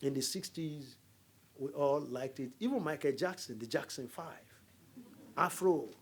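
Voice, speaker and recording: A man's voice: medium pitch, unhurried, a little hiss or hum. A middle-aged Nigerian man with a Nigerian accent, giving a university lecture as a diplomat, telling stories and jokes to the audience. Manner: storytelling, animated, jokes with audience.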